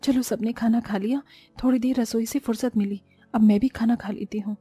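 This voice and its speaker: A woman's voice: a little high, fairly even intonation, a little fast, dark tone, slightly rough, soft background music. A woman voicing an overworked, long-suffering young housewife in a cartoon moral story, plaintive and dutiful. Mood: exasperated.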